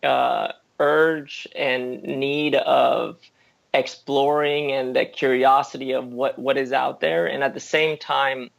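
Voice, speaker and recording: A man born in the US and raised in Spain, answering interview questions in a thoughtful way, with many pauses and restarts. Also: medium pitch, level tone, vocal fry. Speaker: interview guest.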